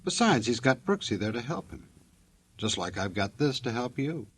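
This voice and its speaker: A man's voice: mid-range voice, very animated, moderate pace, husky. An American man working as a 1940s radio announcer, narrating in a folksy, tongue-in-cheek, wisecracking way.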